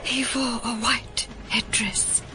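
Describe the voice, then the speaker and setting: An actress playing a shaken, dedicated female scientist in an audio drama, speaking in a slow, hushed way. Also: fairly high pitch, flat delivery, noisy background. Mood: haunted, shaken. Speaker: voice actor. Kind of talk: modern audio drama acting. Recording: dramatic music under the voice.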